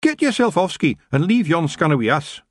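Brash, high-pitched tiny Scottish pixie warrior. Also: male voice, big pitch swings, a little fast, dry recording, clean studio sound. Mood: cheerful. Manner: brash, pugnacious. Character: tiny rowdy male pixie warriors, cheerful and combative. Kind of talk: audiobook character voice.

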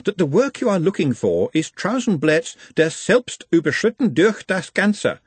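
A man doing a formal, precise, gentle young scholar. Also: fairly high pitch, sing-song, moderate pace, slightly rough, no room sound, voice pushed up. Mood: scholarly.

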